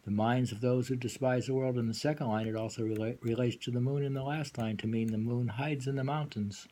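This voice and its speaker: A man giving an informal poetry reading with commentary, musing haltingly and repeating phrases as he explains. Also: mid-range voice, steady tone, dark tone, slight background noise.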